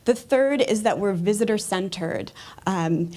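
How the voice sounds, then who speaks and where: A woman's voice: medium pitch, expressive, a little slow, dark tone. Upbeat American woman presenting to an audience. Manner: upbeat, explanatory, occasional fillers. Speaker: museum educator on a panel.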